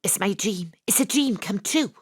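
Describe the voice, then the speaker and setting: A woman's voice: medium pitch, animated, normal speed, husky, no room sound, clean studio sound. A woman playing a brash, sweary private detective who narrates her own comic audio drama in a breezy, irreverent way. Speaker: narrator and lead character. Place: home studio.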